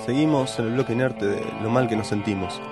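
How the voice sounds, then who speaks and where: A man's voice: medium pitch, brisk, music in the background. A man with a Rioplatense Argentine accent presenting a comedy radio show in a scripted announcing style.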